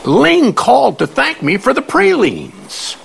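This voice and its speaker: A man's voice: very high-pitched, wildly swooping pitch, gravelly, fairly bright tone, a little hiss or hum, exaggerated voice. A man acting a wry, elderly, folksy confidant who speaks in aphorisms in an audio play. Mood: concerned. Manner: folksy, slow, quoting aphorisms.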